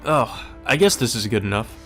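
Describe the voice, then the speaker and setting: A man's voice: mid-range voice, lively intonation, normal speed, pushed and bright. A man reading a visual novel aloud as a let's player, mixing plain narration with casual, wry asides. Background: music.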